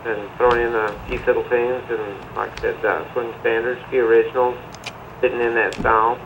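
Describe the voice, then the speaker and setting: Gravelly man, relaxed. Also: mid-range voice, fairly quick, noisy background. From Middle Tennessee. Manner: relaxed, many "uh" fillers. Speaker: musician guest. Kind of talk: casual interview answers. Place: remote link to a TV studio.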